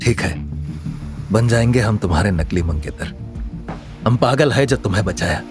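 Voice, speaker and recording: A man's voice: lowish voice, animated, normal speed, over music, pitched below the performer's own voice, close to an everyday voice. A man voicing a reluctant, exasperated young male tea seller in an audio story, flustered and protesting. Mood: weary, defeated.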